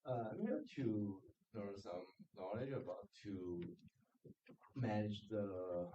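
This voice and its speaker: A man's voice: on the low side, expressive, slow, mellow, muffled recording, a little hiss or hum. Male student from China, hesitant, echoey room, far from the mic. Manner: hesitant, searching for words. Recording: roomy, distant classroom recording.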